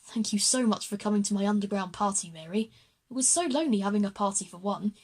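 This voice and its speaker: A woman's voice: mid-range voice, quick pace, a little mellow, breathy edge, lightly acted. Woman as Michael, kindly eccentric male headmaster. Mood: genial. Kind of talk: children's audio drama acting.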